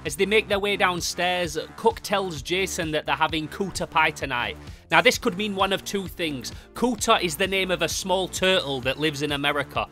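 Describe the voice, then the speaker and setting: Young guy doing hyped comic review, really sharp forceful voice, sweary, music behind. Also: high voice, moderate pace. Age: young adult. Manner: sweary, emphatic. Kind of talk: enthusiastic comic review narration.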